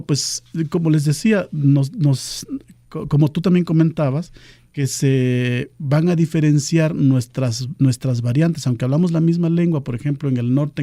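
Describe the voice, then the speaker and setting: A man from southern Veracruz whose first language is Nahuatl, speaking in a very dark, mellow voice. He explains patiently and steadily as a radio guest, often pausing on "este" fillers. The sound is dry and close. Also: fairly high pitch, no echo. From Pajapan, southern Veracruz, Mexico. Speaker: guest, cultural outreach official. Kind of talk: radio interview.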